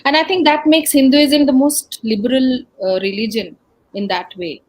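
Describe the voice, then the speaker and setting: Higher-voiced Indian woman interviewing. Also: steady tone, normal speed. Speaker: interviewer, host. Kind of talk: conversational interview.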